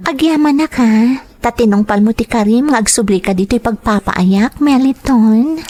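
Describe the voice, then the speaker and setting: A woman's voice: fairly high pitch, normal speed, darkish tone, dry recording, pitched above the performer's own voice, natural voice. A woman voicing a shy nineteen-year-old bar worker with an Ilocano accent, speaking hesitantly in an audio drama.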